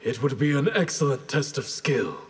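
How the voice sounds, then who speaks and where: A man's voice: mid-range voice, animated, normal speed, slightly rough. A man playing a stern, honor-bound ninja clan leader with a solemn, formal delivery in a fighting game. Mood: solemn, resolute. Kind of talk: dramatic fighting game dialogue. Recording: reverberant hall-like game mix.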